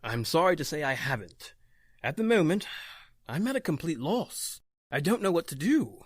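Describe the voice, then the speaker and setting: A man playing a grave, authoritative occult doctor in an old-time radio horror drama, speaking emphatically and deliberately. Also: fairly high pitch, big pitch swings, slightly rough, dry recording, studio quality. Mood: troubled. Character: grave, authoritative male doctor battling the supernatural.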